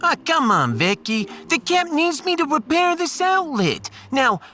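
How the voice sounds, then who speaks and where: A man's voice: very high voice, big pitch swings, measured pace, pushed and bright, heavily acted. Guy doing a flirty slangy spaceship mechanic, brash, bouncy delivery, audiodrama. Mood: cajoling, flirty. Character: brash, flirty starship repair worker named Frankie. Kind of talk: sci-fi audiodrama character acting.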